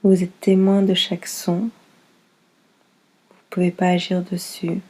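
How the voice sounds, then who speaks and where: A woman leading a guided yoga nidra relaxation as instructor, soothing and unhurried, speaking in short phrases with pauses. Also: fairly low pitch, monotone, mellow, slightly rough, poor audio.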